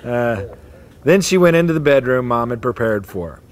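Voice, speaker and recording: A man's voice: mid-range voice, expressive, normal speed. A man casually reading short family stories aloud to relatives in a hotel atrium, breaking off to chuckle and comment.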